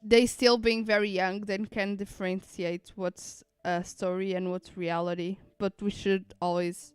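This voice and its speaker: Woman streamer chatting casually, super clean smooth voice, dry close sound. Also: mid-range voice, level tone, unhurried, dry recording, soft background music. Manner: reflective, repeats and rephrases points. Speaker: streamer reacting to a game. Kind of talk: casual live commentary.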